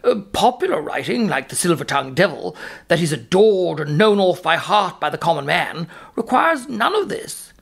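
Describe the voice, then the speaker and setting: An actor playing a pompous, snobbish Irish academic with an Irish accent, fussy and drawn-out in a mock documentary interview. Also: male voice, high-pitched, very animated, creaky, a bit bright, husky, full character voice. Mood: condescending. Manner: pompous, hesitant, theatrically drawn-out.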